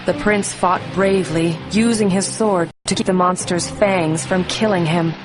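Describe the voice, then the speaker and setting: Lower-pitched woman telling a bedtime story. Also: steady tone, moderate pace, low-quality recording. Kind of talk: scripted bedtime story narration for children. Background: music.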